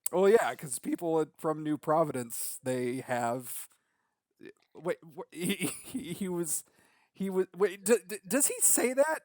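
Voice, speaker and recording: A man co-hosting a podcast in casual chat, halting and repeating words, with a teasing edge. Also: a little high, expressive, darkish tone, dry recording, clean studio sound. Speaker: podcast co-host.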